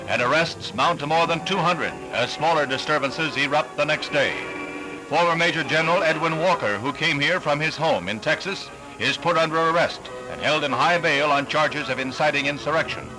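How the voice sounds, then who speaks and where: Man, American accent, higher-pitched voice, vintage newsreel narration, emphatic announcer, prominent background music, old film soundtrack. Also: normal speed, poor audio. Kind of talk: 1960s newsreel scripted narration. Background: music under the voice.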